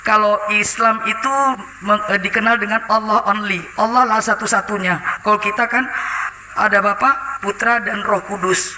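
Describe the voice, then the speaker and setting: A woman's voice: mid-range voice, fairly even intonation, normal speed, sharp tone. Masked woman preaching in an echoey hall. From Indonesia. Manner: chatty, many hesitations, often addresses the audience; speaks through a face mask. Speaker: lay preacher giving testimony. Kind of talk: conversational church testimony to a congregation. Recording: echoey hall with background noise.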